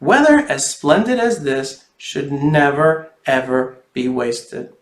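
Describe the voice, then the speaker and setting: A bossy, fretful little storybook girl, acted out by a man, pitched a bit high in a very echoey room. The delivery is dramatic and drawn-out, made for a children's read-aloud. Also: very animated. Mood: fretful, dismayed. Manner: dramatic, drawn-out hollering and fretting. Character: cute little girl, fretful, bossy, loud waker of sleepers. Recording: roomy, echoey sound.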